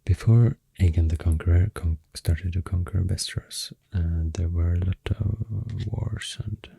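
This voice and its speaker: A man with a Swedish accent speaking in a soft, breathy near-whisper, rambling in an unhurried, hesitant ASMR explanation. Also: low voice. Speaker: ASMR creator. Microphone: close-up ASMR microphone.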